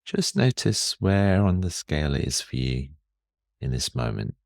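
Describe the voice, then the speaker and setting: A man guiding a meditation in a calm, soothing and measured manner, leaving long pauses. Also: extremely low pitch, vocal fry, dry recording, clean studio sound. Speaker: meditation guide. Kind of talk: guided meditation.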